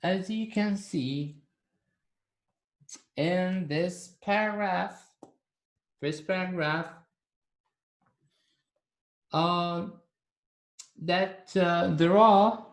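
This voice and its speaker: Male English teacher, very slow and deliberate, slightly higher voice, repeats words for learners. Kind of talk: recorded video lesson.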